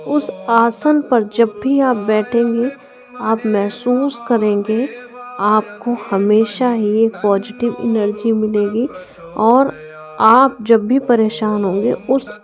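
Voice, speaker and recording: A woman leading a guided meditation, giving gentle, deliberate instructions with drawn-out phrasing and long pauses. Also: a little high, fairly even intonation. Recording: dull lo-fi audio with music. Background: background music.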